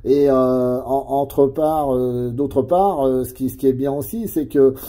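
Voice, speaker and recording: Middle-aged man, very soft dark timbre, medium pitch, casual CB chat, chatty. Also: unhurried. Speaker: CB radio operator.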